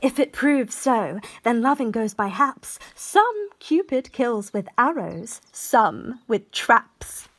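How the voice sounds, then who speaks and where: A woman playing a lively, mischievous young gentlewoman in a Shakespearean radio play, with theatrical, emphatic delivery. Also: on the high side, takes it slowly, hardly any pauses, full character voice. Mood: gleeful, teasing. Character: lively scheming young gentlewoman about to be married. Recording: recorded separately at home.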